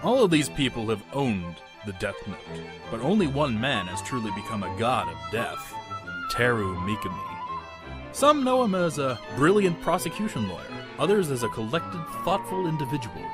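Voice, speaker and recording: A man's voice: mid-range voice, sing-song, moderate pace, close to an everyday voice. A man playing a smug, mocking narrator of a cartoon comedy documentary, grandiose and sardonic. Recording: music behind the voice.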